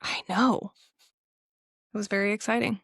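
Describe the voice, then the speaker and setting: A young woman co-hosting a podcast, telling a story in an animated, casual way with laughs. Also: mid-range voice, normal speed, vocal fry, no echo, studio quality. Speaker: podcast co-host. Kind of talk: casual chat.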